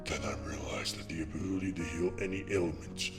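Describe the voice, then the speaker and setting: Man acting a low, brooding young unicorn over music. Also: fairly even intonation, brisk, natural voice. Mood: bitter, brooding. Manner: dramatic, heavy, confessional delivery. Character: brooding young male unicorn, anguished, fatalistic. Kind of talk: scripted first-person narration, fan comic dub. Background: loud music.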